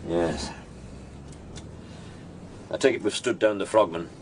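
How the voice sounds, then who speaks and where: An actor playing a gruff senior police inspector in a radio crime drama, weary and wry. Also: male voice, sits low, slow pace, slight background noise. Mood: wry, grim.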